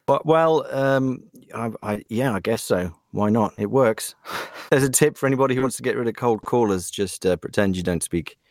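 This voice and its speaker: A man's voice: medium pitch, moderate pace, a little mellow, no echo, clean studio sound. A man who grew up in England, with an English accent, speaking as a voice actor guest on a podcast in a rambling, self-deprecating way with many fillers. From Germany, raised in England. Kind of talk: podcast interview.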